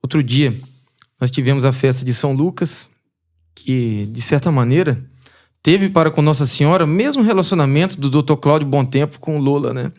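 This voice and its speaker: Male Brazilian priest, calm and measured, slightly high voice. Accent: Brazilian Portuguese. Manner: calm, measured, brief replies. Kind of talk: casual chat and prayer.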